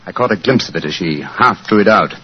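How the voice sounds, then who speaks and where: Courteous, assured detective, husky voice, precise delivery, vintage radio drama. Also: male voice, low voice, lively intonation, a little fast, exaggerated voice. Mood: assured, explaining. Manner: crisp, precise, gentlemanly. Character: brisk, assured, courteous gentleman detective, a man. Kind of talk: 1940s radio acting. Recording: dull vintage radio recording.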